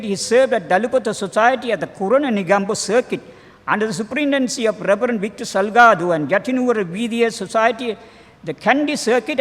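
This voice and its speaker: An older man with a Sri Lankan accent reading a formal tribute as a clergyman, measured and a little halting. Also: high-pitched. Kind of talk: formal read-aloud biographical tribute. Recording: long hall reverb.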